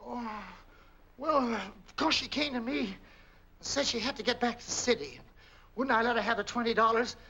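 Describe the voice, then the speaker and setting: A man's voice: very high voice, fairly quick, sharp tone, slightly rough, exaggerated voice. An actor playing a cranky, evasive male night clerk with folksy, grumbling American speech, in an old 1940s film. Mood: defensive, whining. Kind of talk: 1940s film noir acting. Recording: old film soundtrack with faint music.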